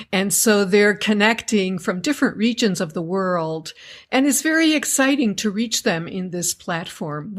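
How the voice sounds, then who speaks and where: A woman guest from the United States talks through her memories at an even, unhurried pace. Her voice sits in a middle pitch, and she answers questions with thoughtful, well-ordered phrasing. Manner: measured, thoughtful storytelling. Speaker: guest, educators association member. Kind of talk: conversational interview.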